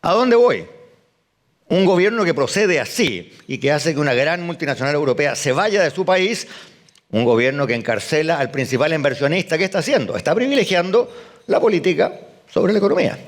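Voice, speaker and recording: A man's voice: on the high side, big pitch swings, brisk. An older man giving a conference keynote as an economist, emphatic, firing rhetorical questions and answering them at once. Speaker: economist speaker. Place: event hall.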